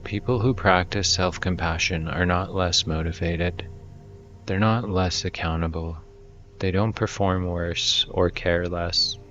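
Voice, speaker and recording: Very deep, slow-speaking male meditation guide. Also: fairly even intonation, a bit bright, highs cut off, soft background music.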